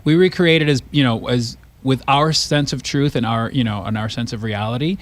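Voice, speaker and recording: Man, mid-pitched, casual interview guest. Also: normal speed. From born in Cuba, raised in the United States. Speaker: stage actor being interviewed. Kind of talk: casual radio interview chat. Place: radio studio.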